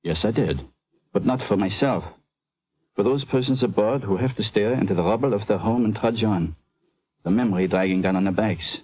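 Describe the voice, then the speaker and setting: An actor playing a proud, defensive immigrant European doctor in a vintage radio drama, speaking formally, precisely and with earnest indignation. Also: male voice, fairly low pitch, moderate pace, darkish tone, close to an everyday voice, low-quality recording. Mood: defensive dignity.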